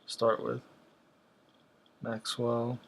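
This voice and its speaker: Guy doing casual video commentary, pretty flat tone, medium pitch, kinda halting, thinking out loud as he goes. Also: gravelly. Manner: halting, thinking aloud while browsing. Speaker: video host. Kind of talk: casual, unscripted commentary over examples.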